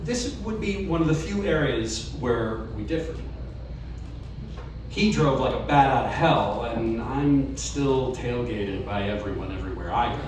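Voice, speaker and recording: A man reads a personal story aloud at a live event, earnest and now and then dropping to a hush. His voice sits in the middle range. The room is very echoey and he sounds a good way off the mic. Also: medium pitch, a little slow, distant mic, noisy background. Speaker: story reader. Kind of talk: live reading of a personal essay. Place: live reading event in a bar.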